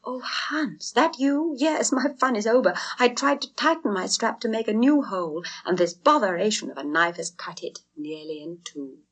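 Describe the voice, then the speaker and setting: A woman's voice: medium pitch, lively intonation, normal speed, few pauses, a bit bright, pitched above the performer's own voice. Woman as a gracious, troubled teenage boy. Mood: dismayed. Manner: polite, heartfelt exclaiming.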